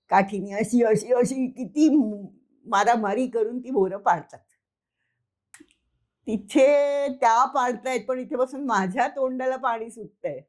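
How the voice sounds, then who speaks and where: A woman's voice: a little high, darkish tone. A woman storyteller narrating informally for children, conversational, addressing listeners and repeating words for effect.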